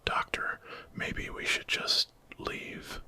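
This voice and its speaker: A man's voice: slow, close to an everyday voice. Whispered wary young woman, read by a man. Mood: wary, sarcastic. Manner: nervous, dry.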